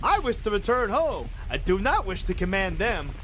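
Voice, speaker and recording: A man's voice: high-pitched, sing-song, moderate pace, fairly bright tone, slight background noise, low-quality recording. A man playing an eccentric, showy wizard in a fantasy audio drama, with a theatrical, exclamatory delivery. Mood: questioning.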